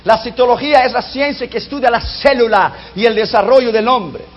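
A man preaching a sermon whose first language is not Spanish, emphatic and dropping low and soft on key lines. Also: brisk, faint music behind. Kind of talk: sermon on prophecy. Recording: dull lo-fi recording.

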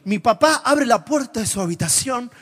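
A man from Argentina with an Argentine accent, preaching a sermon to a congregation and telling humorous stories with lively, animated delivery. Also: extremely high pitch, moderate pace. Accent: Argentine Spanish. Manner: humorous, animated storytelling, shifts to whispers. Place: church before a live congregation.

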